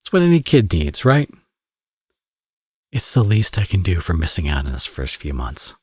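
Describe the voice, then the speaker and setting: A man's voice: low voice, huge pitch swings, normal speed, darkish tone, breathy edge, no echo, exaggerated voice. A man playing a flirty, smug villain who dotes as a father, in a romantic ASMR audio roleplay with playful, conversational delivery. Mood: earnest, persuasive. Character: teasing, flirty male villain, now a doting father.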